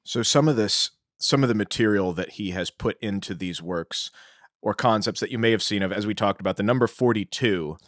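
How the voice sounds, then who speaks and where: A man with a fairly low voice talks as a podcast co-host. He explains things conversationally and informatively. The sound is dry and close on the mic, with very little room. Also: brisk, fairly bright tone, no echo.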